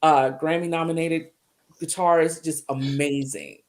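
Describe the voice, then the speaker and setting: A woman's voice: low-pitched, steady tone, a little slow. A woman guest who is a singer-songwriter, talking in a chatty way, laughing easily and dropping in a Southern "y'all". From Ohio, US. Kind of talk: casual chat on a call-in show.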